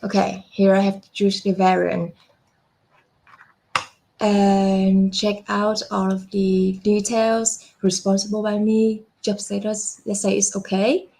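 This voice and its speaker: A young adult woman walking through a software demo step by step, unhurried, with frequent fillers and self-corrections. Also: mid-range voice, flat delivery, gravelly, poor audio. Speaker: software product presenter. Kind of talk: screen-share software demo walkthrough.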